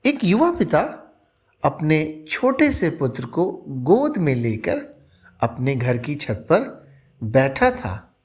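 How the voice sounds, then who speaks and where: A man narrating a scripted moral story as a presenter, with deliberate, earnest, dramatic phrasing. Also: a little high, wildly swooping pitch, slightly rough.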